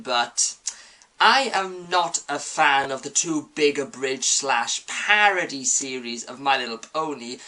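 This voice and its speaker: A woman's voice: a bass voice, pushed and bright. A woman reading a scripted, opinionated video review.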